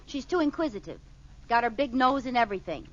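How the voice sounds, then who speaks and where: Scatterbrained housewife played by a woman, vintage radio sitcom. High, animated, coy; noisy old broadcast sound. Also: brisk, highs cut off, natural voice. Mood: flustered politeness. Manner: theatrical, coy, mock-innocent comic delivery. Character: scatterbrained, nosy, fibbing young suburban housewife. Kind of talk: 1940s radio sitcom acting.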